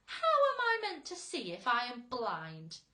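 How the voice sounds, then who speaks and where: A woman's voice: fairly high pitch, huge pitch swings, measured pace, sharp tone, reverberant, pitched above the performer's own voice, heavily acted. A woman voicing a gloomy, irritable blind male earthworm in a storybook, complaining and snapping emphatically. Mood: snappish.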